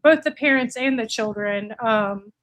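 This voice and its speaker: A woman's voice: on the high side, unhurried, a bit bright. A woman in her late forties with an American accent, chatting casually as an interview guest. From United States (Virginia, earlier Florida). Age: late 40s. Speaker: podcast guest, reptile breeder.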